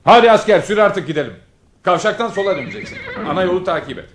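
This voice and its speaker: An actor playing a nervous, hopeful, lovestruck army lieutenant in a theatrical radio drama style. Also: male voice, high-pitched, lively intonation, background noise. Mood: brisk, commanding. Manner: theatrical, murmuring to himself, brisk when giving orders.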